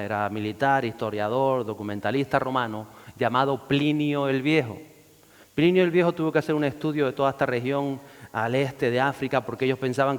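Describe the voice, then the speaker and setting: A male pastor preaches in a mid-pitched voice. He rises in force whenever he quotes scripture. His words ring out with a long hall reverb. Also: smooth. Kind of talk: sermon.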